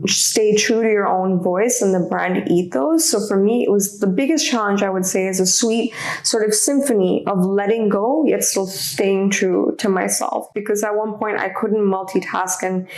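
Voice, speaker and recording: A woman in her thirties who grew up in Indonesia, with Indonesian as her first language, talking in a relaxed, reflective way with many fillers as an interview guest in a studio room. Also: mid-range voice, moderate pace, hardly any pauses, poor audio. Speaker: guest, handbag designer. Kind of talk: casual interview chat.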